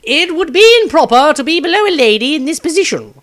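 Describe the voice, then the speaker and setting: A man putting on a prim, high-voiced wizard. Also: sing-song, fast talker, a bit bright, exaggerated voice. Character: fussy, proper male wizard.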